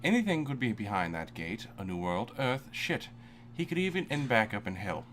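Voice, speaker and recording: A man's voice: medium pitch, big pitch swings, normal speed, a bit bright, slight background noise. Man laughing through a dramatic reading. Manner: reads aloud, often breaking into laughter. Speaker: narrator. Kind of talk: comedic fan-fiction reading.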